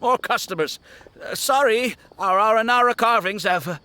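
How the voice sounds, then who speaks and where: A man's voice: sits high, normal speed, clean tone. A man voicing a friendly young shopkeeper who talks politely and gratefully. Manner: polite, warm-hearted, grateful.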